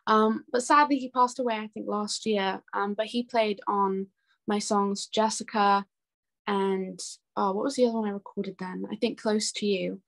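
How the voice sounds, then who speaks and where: A woman's voice: mid-range voice, steady tone, moderate pace, vocal fry. A young woman chatting casually and enthusiastically as a musician being interviewed. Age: young adult.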